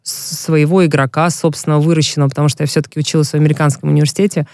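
A woman's voice: deep voice, flat delivery, very fast, darkish tone, no room sound. Woman, interview guest, frank, reflective, long stories, dry close sound. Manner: frank and reflective, storytelling at length. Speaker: interview guest, former pro basketball player. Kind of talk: casual interview talk.

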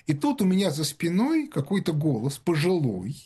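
A man's voice: fairly high pitch, wildly swooping pitch, normal speed, warm tone, breathy edge. A man telling rambling anecdotes in casual talk-show conversation, full of fillers and restarts. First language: Russian.

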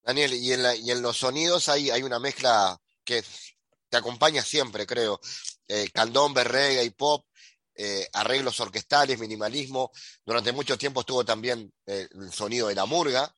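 A man's voice: mid-range voice, moderate pace, pushed and bright, no echo. A man interviewing a musician, asking his questions hesitantly with frequent fillers. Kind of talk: radio-style interview questions.

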